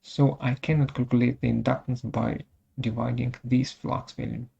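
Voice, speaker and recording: A presenter of unclear sex gives a step-by-step technical software demonstration in short, halting phrases, recorded as muffled webinar audio. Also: mid-range voice, flat delivery, gravelly, husky.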